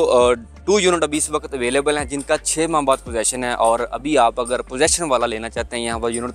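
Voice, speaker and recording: A man in his thirties with a Pakistani Urdu accent walks viewers through a property, speaking into a handheld mic outdoors and in bare rooms. His delivery is salesy and direct, broken up by frequent fillers. His pitch sits at an ordinary middle level for a man. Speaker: real estate agent presenting a housing project. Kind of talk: property tour walkthrough to camera. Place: outdoors at a construction site, then inside an unfinished house and on its roof.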